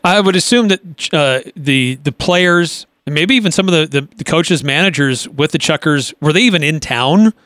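Male sports talk host, slightly high voice, conversational interviewer, very dry sound. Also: expressive, normal speed, few pauses, a bit bright, no room sound.

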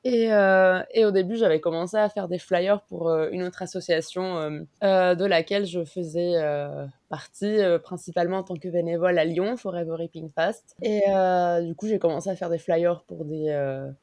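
A woman's voice: on the low side, normal speed, few pauses, a little mellow, no echo. A woman, a guest on a podcast, talking casually with many hesitations and restarts. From Mexico. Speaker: guest, concert organizer.

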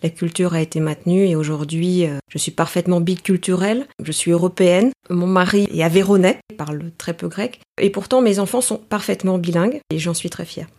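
Lower-voiced middle-aged woman, reflective interview guest. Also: brisk, few pauses, warm tone. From Paris, France, in a Greek family. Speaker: interview guest, executive coach.

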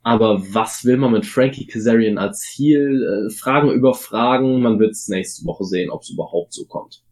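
A man's voice: medium pitch, normal speed, darkish tone. A man co-hosting a casual wrestling podcast, talking in an opinionated way and weighing his points with frequent fillers. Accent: German. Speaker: podcast co-host.

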